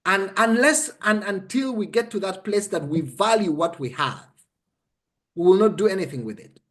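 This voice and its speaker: Ugandan male, high-pitched for a man. Conversational coach, instructive and emphatic, checks the listener follows. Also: animated, normal speed. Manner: emphatic, instructive, checks understanding with short questions. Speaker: interview guest explaining a method.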